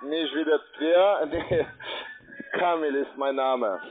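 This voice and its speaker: A man with a foreign accent in German, giving an insistent, formal sales pitch as an account manager. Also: slow pace, faint music behind. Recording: tinny, narrow phone line.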